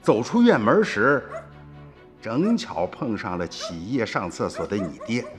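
An actor playing an earthy, worried old farmer in a radio drama, telling his story in a folksy, expressive way. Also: lowish voice, measured pace, music in the background. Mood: reflective, uneasy. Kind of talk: radio drama narration and acting.

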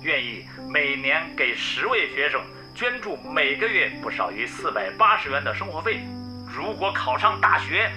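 An actor playing a genial township official in a radio drama, speaking in a measured, friendly way. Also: male voice, on the high side, big pitch swings. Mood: pleased, informing. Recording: music under the voice.

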